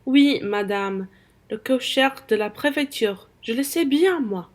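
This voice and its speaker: A woman playing a spiteful, coarse merchant's wife in a radio play, sharp-tongued and scornful. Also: on the high side, expressive, slow.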